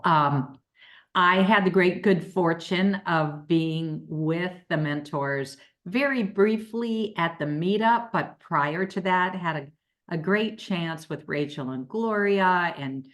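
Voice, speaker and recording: A woman with a lowish voice talks at a slow pace. She is appreciative and halting, feeling her way through informal remarks on a group call. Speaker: guest commenting on a donor call.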